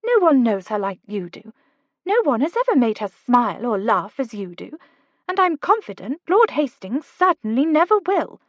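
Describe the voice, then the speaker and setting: A woman voicing Kate, a spirited young woman urging her friend to act, in a higher, coaxing voice. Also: sing-song, moderate pace, no room sound, lo-fi sound. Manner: urgent, coaxing.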